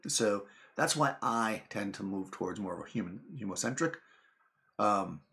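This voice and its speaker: A man talks casually to his viewers, wandering through asides and restarts. His voice sits on the low side and has a steady gravelly fry. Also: moderate pace. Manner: rambling, chatty, lots of fillers and asides. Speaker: channel host. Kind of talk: casual talk to camera.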